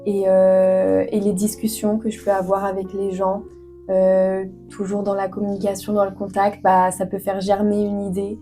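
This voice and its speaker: A woman's voice: medium pitch, completely flat delivery, normal speed, dark tone, faint music behind. A young woman in her twenties from France, answering interview questions earnestly with many hesitations and fillers. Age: 20s. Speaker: author guest.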